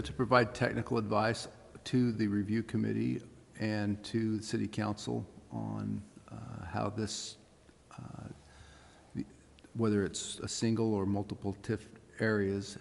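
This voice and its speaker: A man, a city official, explaining things in a halting, hesitant way at a public meeting. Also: fairly low pitch, creaky. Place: council chamber. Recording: echoey meeting room, faint noise.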